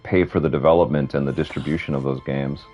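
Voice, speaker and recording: A man with a deep voice, hosting a live gameplay stream and rambling casually with fillers. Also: steady tone, quick pace, a little mellow. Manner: rambling, conversational, lots of fillers. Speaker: stream host. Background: game music.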